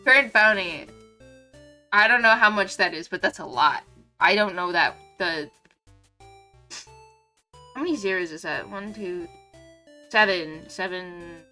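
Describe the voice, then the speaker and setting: A young woman streams a game with a bright, forward voice that is clearly congested. Her talk is casual and scattered, full of little asides to herself. Music plays faintly in the background. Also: fairly low pitch, unhurried, pauses often. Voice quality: stuffy, congested nose. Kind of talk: casual live stream chat while playing a game.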